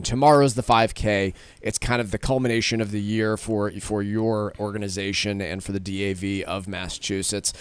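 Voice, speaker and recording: A male radio host speaks in a friendly, conversational way. His voice is low and smooth, and it sits dry and close in the studio. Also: no room sound. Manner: friendly, conversational, reads phone numbers. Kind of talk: radio talk show hosting.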